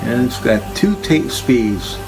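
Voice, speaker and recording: An older man gives a casual, unscripted tour of equipment at a slow pace. His voice is medium in pitch, and his delivery is plain and halting, with trailing phrases. Music is clearly audible behind him. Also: expressive, low-quality recording. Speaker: collector presenting his tape machines. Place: indoor room full of audio gear.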